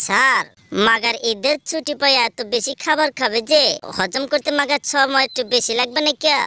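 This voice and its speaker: A woman's voice: high voice, brisk, sharp tone, dry recording. A woman voicing a cheeky cartoon schoolboy who peppers his speech with odd slang words. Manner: cheeky, mixing dialect words.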